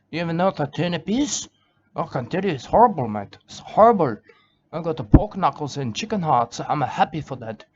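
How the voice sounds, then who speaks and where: An Australian man delivers a rambling, self-repeating comic monologue in broken grammar. His voice sits a touch high for a man, and the recording is dull and lo-fi with faint outdoor ambience behind him. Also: a little hiss or hum. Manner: rambling, repetitive, broken grammar, keeps saying "mate".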